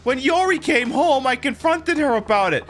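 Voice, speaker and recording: A man acts out a distraught video game mother. The voice is high and swoops with worry, halting and pleading, with faint music behind. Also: lively intonation, a bit bright. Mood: anxious, pleading. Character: distraught, pleading mother of a college-age daughter.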